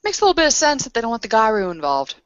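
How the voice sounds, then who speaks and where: A woman's voice: fairly high pitch, wildly swooping pitch, racing, dry recording. Woman player in a tabletop rpg, wry, asks lots of questions, dry slightly dull sound. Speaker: player in a roleplaying game. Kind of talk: casual in-character tabletop roleplay conversation.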